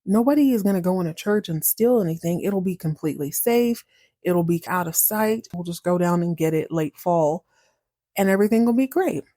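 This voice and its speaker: A sly storybook tomcat, acted out by a woman storyteller with a mellow tone. He talks in glib, excitable slang and gets defensive easily. The sound is dry, without room echo. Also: fairly low pitch, a little fast, lightly acted. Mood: persuasive. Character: sly male cat, breezy, defensive fibber. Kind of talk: casual storybook character dialogue.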